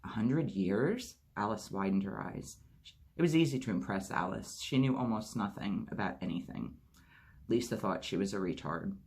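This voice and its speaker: A man reading a memoir aloud as a storytime host, steady narration with lightly acted dialogue. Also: deep voice, normal speed, vocal fry, slightly rough. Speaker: audiobook reader.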